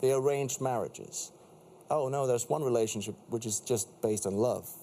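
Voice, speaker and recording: Male Danish-native actor guest, mellow tone, rambling restarts, faint noise behind. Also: medium pitch, normal speed, vocal fry. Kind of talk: casual chat.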